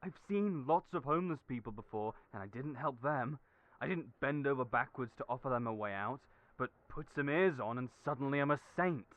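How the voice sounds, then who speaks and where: A man's voice: medium pitch, lively intonation, a little fast, close to an everyday voice. A man playing Julian, a kind but anxious young man who doubts himself, talking hesitantly. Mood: worried, defensive. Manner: hesitant, worried, self-deprecating. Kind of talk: audio roleplay acting. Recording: tinny phone-like audio.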